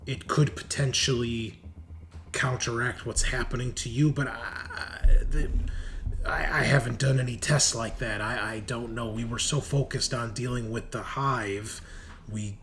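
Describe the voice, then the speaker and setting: A man's voice: mid-range voice, fairly even intonation, fairly bright tone, natural voice. A man playing a flustered, apologetic doctor who stammers and hedges in a tabletop game. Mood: uneasy, hesitant. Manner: halting, stammering, hedging. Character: flustered male doctor, hesitant, apologetic, bluntly practical. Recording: clearly noisy background.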